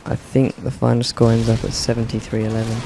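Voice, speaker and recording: Flat-voiced man commenting gameplay. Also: medium pitch, quick pace, vocal fry, background noise. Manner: monotone, dry, many "um"s. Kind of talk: casual game commentary. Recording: game gunfire in the background.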